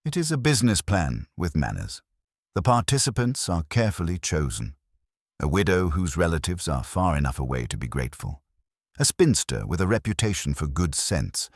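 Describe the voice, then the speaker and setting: Guy reading as prim older lady, dry and amused, precise, husky voice that swings up and down. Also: low-pitched, big pitch swings, moderate pace, dry recording, exaggerated voice. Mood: crisp, relishing. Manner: crisp, precise, dryly pleasant. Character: prim, shrewd older genteel woman, coolly amused.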